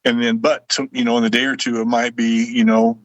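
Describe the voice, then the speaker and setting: A man's voice: medium pitch, little pitch movement, fairly quick, dry recording. An American man chatting casually as a guest, with a wry, conversational way of talking. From United States, Illinois. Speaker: guest voice performer. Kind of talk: casual chat.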